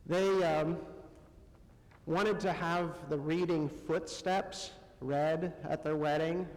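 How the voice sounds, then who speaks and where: Male preacher, unhurried and halting, fairly high voice, conversational sermon delivery in a long-reverb church space. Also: steady tone. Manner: halting, pausing often between short phrases. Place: church sanctuary, amplified to a congregation.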